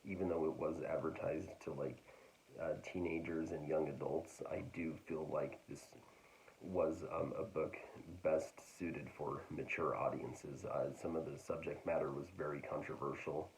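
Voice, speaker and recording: A man casually talking through a book haul, hesitant and full of fillers, his voice getting raspy. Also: extremely low pitch, fairly even intonation, moderate pace, slight background noise, poor audio. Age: adult. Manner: hesitant, full of ums and restarts. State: getting raspy, clearing throat. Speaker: book vlogger.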